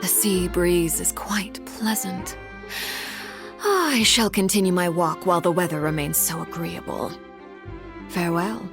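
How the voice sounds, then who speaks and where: Commanding, coolly courteous harbinger. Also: female voice, on the low side, lively intonation, music in the background. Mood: courteous, aloof. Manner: formal, deliberate, self-possessed. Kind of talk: anime-style game voice acting.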